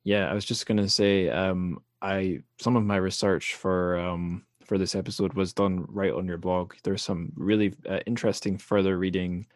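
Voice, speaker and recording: Male podcast host, very low voice, dry close mic, laid-back and wry. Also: normal speed, hardly any pauses, no room sound. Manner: relaxed, wry, trails off quietly at sentence ends. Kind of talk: conversational interview.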